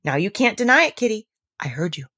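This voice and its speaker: A woman voicing a chatty, imaginative little girl in a storybook, animated and mock-stern as she talks to her kitten. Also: medium pitch, fast, no echo, clean studio sound. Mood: mock-stern scolding. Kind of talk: storybook character voice.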